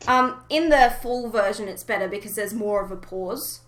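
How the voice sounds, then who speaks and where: A woman's voice: mid-range voice, moderate pace, fairly bright tone, rough recording. A woman hosting a casual fan podcast, chatting informally and giving blunt opinions. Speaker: podcast host.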